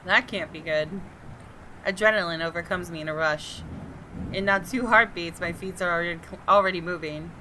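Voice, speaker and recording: A woman's voice: lowish voice, normal speed, pushed and bright, slight background noise, low-quality recording. A woman gaming host reads a visual novel aloud with suspenseful expression and slips into casual commentary. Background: game music.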